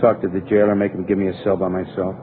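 An actor playing a shifty, slangy, defensive small-time crook in a radio crime drama, on a tinny old recording. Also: male voice, deep voice, flat delivery, fast talker, heavy background noise, close to an everyday voice. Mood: cornered, resigned. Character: shifty small-time crook, wise guy under questioning.

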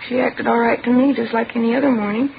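A woman playing a distraught, bitter teenage girl in an old radio crime drama, giving halting, short answers. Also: a little high, steady tone, gravelly. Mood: subdued. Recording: tinny, faintly noisy old radio broadcast.

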